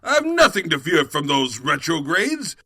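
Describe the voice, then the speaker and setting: A man's voice: a little high, big pitch swings, moderate pace, pushed and bright, dry recording, clean studio sound, heavily acted. A man voicing a vain, blustering evil stallion king in a cartoon audio drama, with a grandiose, theatrical manner. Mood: pompous.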